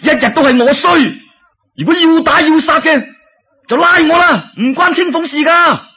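A man's voice: very high-pitched, normal speed, pitched above the performer's own voice. A man voicing an ardent, fearless young scholar in love, impassioned and beseeching, with a Cantonese accent, in a told story. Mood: desperate shouting. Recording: dull lo-fi radio-like recording.

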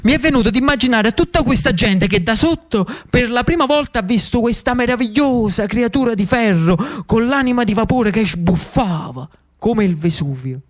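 A man's voice: very high voice, lively intonation, normal speed. A man with a Neapolitan accent delivers a theatrical storytelling monologue, dramatic and lyrical, lingering on short repeated phrases. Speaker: actor-storyteller. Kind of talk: theatrical narrative monologue in Italian with dialect.

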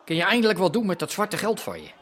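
An actor playing a pushy, cocky underworld wheeler-dealer in a radio drama, talking persuasively. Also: male voice, high voice, wildly swooping pitch, quick pace, a bit bright, exaggerated voice. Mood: pushy, eager. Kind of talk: naturalistic radio drama acting.

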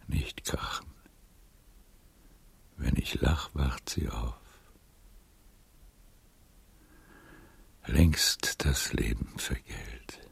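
An actor playing a frail, weary old nobleman in a poetic radio drama, tender and melancholy, with a breathy, gravelly voice and a slow, hushed, solemn delivery. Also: extremely low pitch, heavily acted. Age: older.

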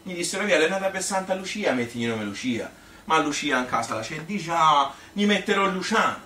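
A man playing a chatty old Tuscan grandmother who tells her life story in a colloquial, rambling, halting stage monologue. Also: fairly high pitch, expressive, sharp tone, pitched above the performer's own voice. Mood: animated, reminiscing. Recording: roomy, noisy, distant stage recording.

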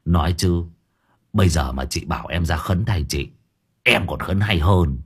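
A man voicing a cheeky young assistant who teases in a lazy, low drawl. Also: unhurried, breathy edge, full character voice. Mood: teasing. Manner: lazy, teasing.

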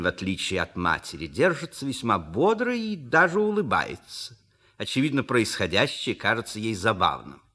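A man reads theatrical narration with a wry touch. His voice sits fairly low yet is bright and forceful, with wide, animated intonation. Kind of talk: theatrical scripted narration of stage scene.

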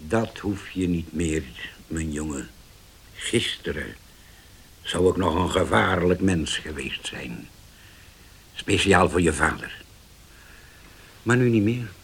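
Scheming elderly uncle, deep register, slow delivery, husky, condescending, radio drama. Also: male voice, animated, exaggerated voice. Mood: solemn, menacing. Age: older. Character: scheming older uncle, pious-sounding, domineering and deliberate. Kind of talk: Dutch radio play acting.

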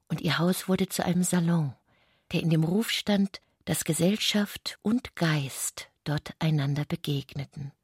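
A woman narrating literary prose for a radio play in a breathy voice. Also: lowish voice, a little mellow, dry recording, clean studio sound.

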